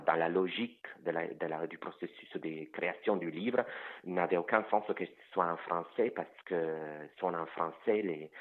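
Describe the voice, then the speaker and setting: Man, very deep voice, hesitant, many fillers and restarts, tinny phone-like sound. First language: Italian. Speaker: author guest. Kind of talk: interview answers about his novel.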